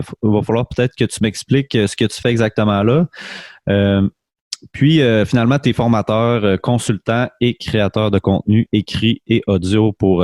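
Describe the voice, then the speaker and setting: A man's voice: on the low side, a little mellow, no room sound, studio quality. A man with a Québécois French accent hosting a podcast interview, talking casually with frequent fillers. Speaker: podcast host.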